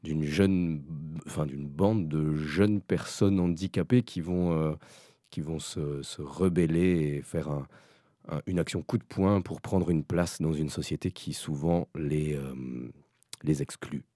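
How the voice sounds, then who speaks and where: A man's voice: rumbling low, slow pace, mellow, no echo, studio quality. A man explaining his own work in an interview, hesitant and searching for his words. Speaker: fiction writer, special educator.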